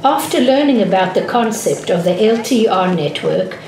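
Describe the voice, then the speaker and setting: A woman reading a scripted documentary narration in a measured, even way. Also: medium pitch. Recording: echoey voice-over with music behind.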